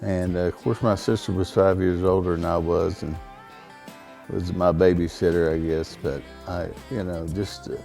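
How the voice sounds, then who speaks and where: A man with a baritone voice, speaking as a songwriter guest in a casual interview, plainspoken and rambling with frequent fillers and restarts. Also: level tone, normal speed, creaky, mellow, faint music behind.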